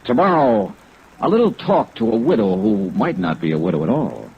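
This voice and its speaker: An actor playing a tough, smooth middle-aged male insurance investigator who narrates his case in a clipped, wry 1950s radio style. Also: lowish voice, huge pitch swings, moderate pace, heavily acted. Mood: ominous. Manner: clipped, wry hardboiled delivery. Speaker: lead actor and narrator of a detective serial. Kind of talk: 1950s radio detective acting. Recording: dull, lo-fi vintage radio recording.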